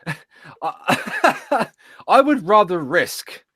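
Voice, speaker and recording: A man co-hosting a casual chat, blunt and quick to exasperated outbursts. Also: high voice, very animated, a bit bright, breathy edge, no room sound, studio quality. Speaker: podcast co-host.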